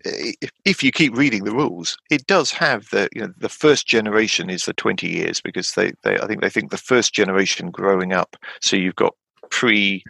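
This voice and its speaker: A middle-of-the-range male voice with a gravelly, slightly husky texture, belonging to a man in his fifties. He rambles through casual conversation with frequent fillers and restarts, his pitch springing up when something excites him. The sound is dry and close to the mic. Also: brisk, no room sound. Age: 50s. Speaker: podcast guest. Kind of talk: casual chat about tabletop games.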